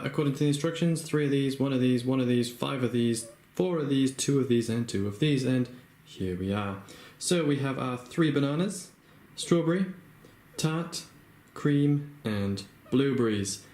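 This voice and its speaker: A man's voice: medium pitch, a little mellow. Chatty man with ums, echoey room. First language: not Japanese. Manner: chatty, hesitant, lots of ums and uhs. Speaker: crafting vlogger. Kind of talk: casual craft tutorial narration. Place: home room, working at a table.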